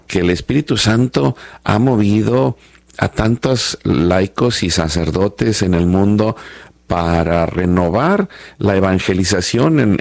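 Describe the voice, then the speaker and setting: A man's voice: sits low, gravelly, darkish tone, no room sound. A man in his fifties with a Mexican accent, talking reflectively and hesitantly as the host of a conversational interview. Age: 50s. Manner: hesitant, reflective, searching for words. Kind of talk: conversational testimony in a panel interview.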